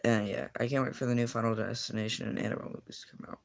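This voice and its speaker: A man's voice: fairly low pitch, fairly even intonation, racing, vocal fry. A teenage boy in casual livestream chat, answering viewers and rambling with lots of fillers and self-corrections. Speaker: streamer.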